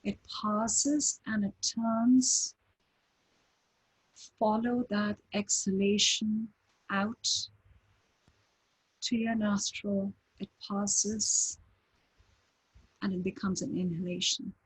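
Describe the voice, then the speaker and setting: Female meditation guide, airy breathy tone, unhurried delivery with long pauses. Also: mid-range voice, poor audio. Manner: slow, calm, gentle instructions with long pauses. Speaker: meditation teacher.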